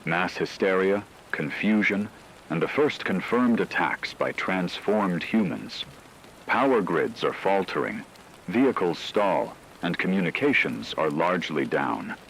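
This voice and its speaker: Radio drama anchor, played by a man: very low voice, grave and measured, scripted doom bulletins over faint rainy noise. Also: expressive, fairly bright tone, full character voice, rough recording. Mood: grave, ominous. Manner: solemn, ominous, measured. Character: grave male radio news anchor reporting a werewolf apocalypse. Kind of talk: scripted dramatic news narration.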